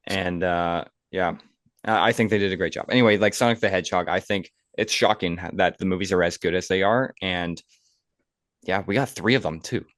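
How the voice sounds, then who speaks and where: A man's voice: low voice, a little fast, a bit bright, dry recording, clean studio sound. A man co-hosting a podcast in casual, opinionated conversation. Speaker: podcast co-host.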